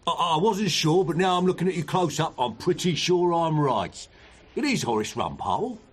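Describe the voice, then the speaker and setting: A pushy, flattering newspaper editor in a radio play. His mid-pitched voice is husky and very animated. He comes across as chummy and self-important. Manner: chummy, insistent, halting at times. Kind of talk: radio drama dialogue.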